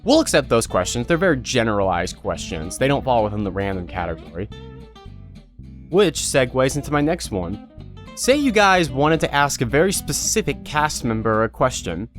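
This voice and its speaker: A man's voice: mid-range voice, very animated, normal speed, faint music behind. A man speaking informally and directly to viewers as an online creator, explaining guidelines in a casual video announcement.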